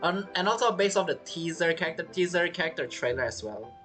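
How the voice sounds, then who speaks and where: A man's voice: on the high side, lively intonation, brisk, bright, forceful tone, soft background music. A man giving casual playthrough commentary as a gaming streamer, chatty and musing aloud.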